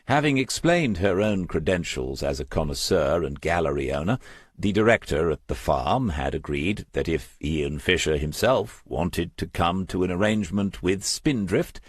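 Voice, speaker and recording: A man's voice: sits low, breathy edge, no room sound, clean studio sound. A man narrating a crime novel as an audiobook, reading scripted prose.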